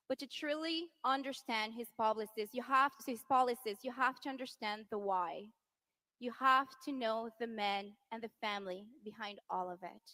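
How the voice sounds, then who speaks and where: A woman in her thirties from Venezuela addresses a rally crowd with passion. Her voice sits high and rings bright. She builds to rousing, forceful peaks on the key lines. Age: 30s. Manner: impassioned, rising to rousing shouts on key lines. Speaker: politician's wife speaking at a rally. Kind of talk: political rally speech.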